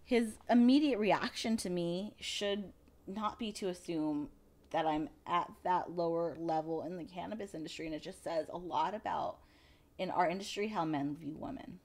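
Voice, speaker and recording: This is a woman in her thirties with a slightly low voice. She speaks informally and with emphasis, as a co-host in a relaxed chat. Her delivery is unscripted and conversational. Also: normal speed. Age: 30s. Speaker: podcast co-host, cannabis industry professional. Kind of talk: casual chat.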